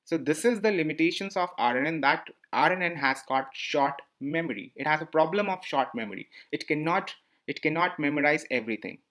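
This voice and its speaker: A man's voice: on the high side, lively intonation, fairly quick, hardly any pauses, a bit bright. A man explaining a lesson over slides, often repeating himself and asking the listener questions. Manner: repetitive, asks listeners questions. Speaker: teacher. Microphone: voice-over on slide screencast.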